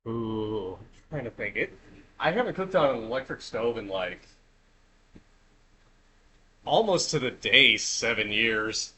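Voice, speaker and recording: A man's voice: mid-range voice, lively intonation, unhurried, long pauses, pushed and bright, slightly rough, rough recording. A man streaming himself cooking at home, chatting casually and easily as he goes. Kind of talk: casual chat while cooking. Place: home kitchen.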